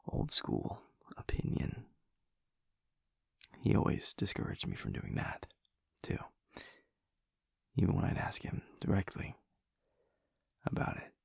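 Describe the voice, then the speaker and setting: Man acting a husky, whispering, tender vampire lover. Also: low-pitched, no room sound, clean studio sound, exaggerated voice. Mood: hushed, reflective. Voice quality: breathy, husky, often whispering. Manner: slow, hushed, tender, halting pauses. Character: gentle, devoted, intimate male vampire lover. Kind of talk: intimate audio roleplay acting. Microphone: close microphone, dry.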